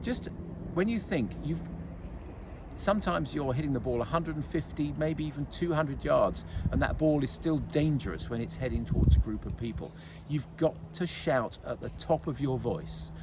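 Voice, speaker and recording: A man, serving as men's captain of a golf club, gives a casual update to members outdoors. He has a loud voice and talks in a chatty way, with frequent restarts and fillers. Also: a little high, lively intonation, moderate pace. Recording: faint outdoor traffic noise.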